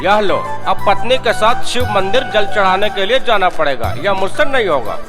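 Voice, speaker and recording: A man voicing a witty, exasperated village husband in a children's cartoon, sarcastic and animated, repeating his words. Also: sits high, moderate pace. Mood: grumbling, placating. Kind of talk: Hindi children's cartoon dubbing. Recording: music behind the voice.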